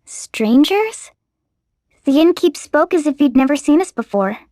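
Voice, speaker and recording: A small, girlish companion from a fantasy game. Her voice is extremely high and expressive. She comes across as puzzled and anxious. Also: unhurried, no room sound, studio quality. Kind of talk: video game voice acting.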